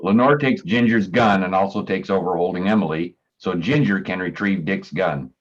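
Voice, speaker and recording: A man's voice: low-pitched, moderate pace. A man reading stage directions as scripted narration, on a slightly dull recording.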